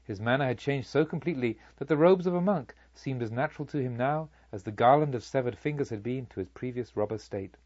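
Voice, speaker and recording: Man reading a literary audiobook, formal, slightly husky, dry but kinda dull recording. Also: medium pitch, darkish tone, dry recording. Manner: formal, deliberate storytelling. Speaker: audiobook narrator. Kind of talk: expressive scripted literary narration.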